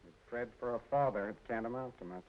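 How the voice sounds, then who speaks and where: A man's voice: medium pitch, fast talker, close to an everyday voice. An actor playing a sour, grumbling working-class father in a mid-century film noir. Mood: scornful. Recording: muffled old soundtrack, faint noise.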